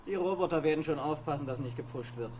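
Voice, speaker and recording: An irritable male space pilot played by an actor in an old radio play. His voice is high for a man, blunt and exasperated, over clear background noise. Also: fast, a little mellow, close to an everyday voice. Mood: casual. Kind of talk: vintage German sci-fi radio play acting.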